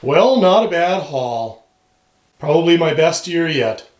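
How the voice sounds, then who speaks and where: A hoarse man with a high voice talks loosely and gratefully to the camera, repeating himself as he goes. His voice rings with a roomy echo around it. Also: takes it slowly. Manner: relaxed, rambling, grateful. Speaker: outdoor vlogger. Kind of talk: casual vlog talk to camera. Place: off-grid cabin in the northern woods.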